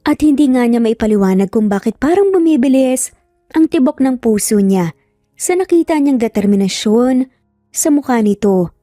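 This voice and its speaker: A woman's voice: on the high side, lively intonation, moderate pace, warm tone, dry recording, studio quality, faint music behind. A woman with a Filipino accent reading a scripted story as a dramatic narrator.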